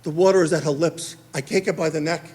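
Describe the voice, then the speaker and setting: A middle-aged man, telling a stand-up story on a theater stage and acting out the dialogue in quick back-and-forth. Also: on the high side. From Queens, New York. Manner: animated, acts out dialogue in quick exchanges. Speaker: stand-up comedian.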